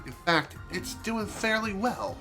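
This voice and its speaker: A man streaming a video game, chatting casually and rambling with self-amused asides, his voice a little hoarse from allergies. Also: high-pitched, lively intonation, slow, bright, forceful tone. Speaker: gaming streamer. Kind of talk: casual let's play commentary. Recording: game music behind voice. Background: game music.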